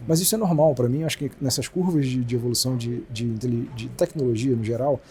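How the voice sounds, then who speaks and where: Fast-talking Brazilian executive, mellow voice. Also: male voice, mid-range voice. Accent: Brazilian Portuguese. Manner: fast, animated explaining with many restarts. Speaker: company president, guest. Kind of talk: casual business interview.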